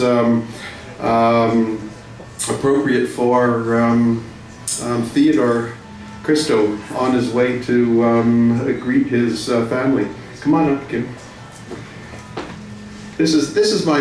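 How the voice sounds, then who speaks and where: Slow, halting man hosting in echoey room. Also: mid-range voice, a little mellow. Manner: halting, frequent long hesitations. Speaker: book launch host. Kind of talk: informal event introduction. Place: indoor room at a live event. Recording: echoey room, distant mic, faint noise.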